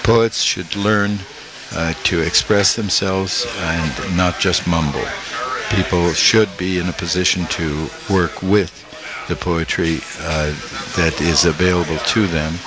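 An older man from Italy, a small press publisher, being interviewed and speaking haltingly with many fillers and restarts. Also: deep voice, fairly even intonation, low-quality recording. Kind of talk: interview conversation.

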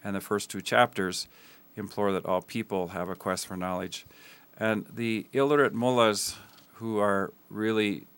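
Man, deep flat smooth voice, earnest guest with halting fillers. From Tanzania. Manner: earnest, many ums and uhs. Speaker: guest, school builder. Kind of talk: interview answers.

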